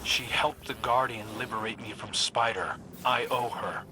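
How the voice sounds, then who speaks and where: An actor playing an earnest young ally in a video game, speaking in a musing, sincere way. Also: male voice, mid-range voice, fairly even intonation, normal speed, sharp tone, poor audio. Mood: earnest, defensive. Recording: clear ambient noise behind.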